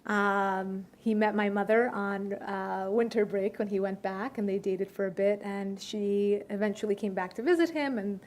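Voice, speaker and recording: A woman's voice: medium pitch, fairly even intonation, a little mellow. Woman speaking thoughtfully at a luncheon. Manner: measured, with many filler pauses and restarts. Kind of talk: conversational Q&A talk. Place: luncheon room.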